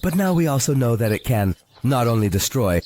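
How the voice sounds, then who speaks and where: A man narrating a scripted story for young children, expressive and full of questions to the listener. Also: medium pitch, fairly quick, a little hiss or hum. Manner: expressive, asks the viewer questions. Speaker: narrator of a children's toy video. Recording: music behind the voice. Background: music.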